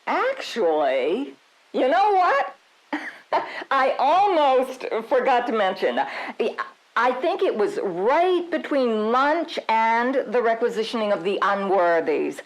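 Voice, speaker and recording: A suburban committee lady testifying in an audio drama. She is haughty and evasive yet chatty, with swooping intonation and an unhurried pace. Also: a little high, very animated, rough recording. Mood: chatty, coy.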